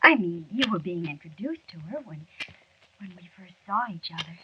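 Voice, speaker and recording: Actress as a demure young fiancée, noisy old radio. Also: female voice, fairly low pitch, animated, moderate pace, close to an everyday voice. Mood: coy, affectionate. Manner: coy, proper. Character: demure, sweet young secretary, affectionate fiancée. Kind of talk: 1940s radio drama acting. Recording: dull, noisy 1940s radio recording.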